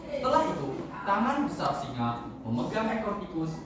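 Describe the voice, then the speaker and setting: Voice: mid-range voice, wildly swooping pitch, moderate pace. A contest storyteller narrating a tale in a theatrical, declamatory way. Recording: echoey hall, distant mic, noisy.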